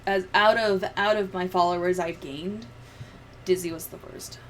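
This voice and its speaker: Low-pitched woman rambling on a livestream. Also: steady tone, normal speed, slight background noise, poor audio. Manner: rambling, thinking out loud. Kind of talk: casual livestream chat.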